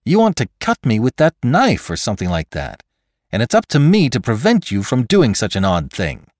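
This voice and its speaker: Man playing a logical man, pitched up, insistent. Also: huge pitch swings, moderate pace, a little mellow, no room sound, studio quality. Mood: urgent reasoning. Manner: insistent, articulate reasoning. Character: logical, reasonable man pleading for his life. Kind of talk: dramatic storybook narration.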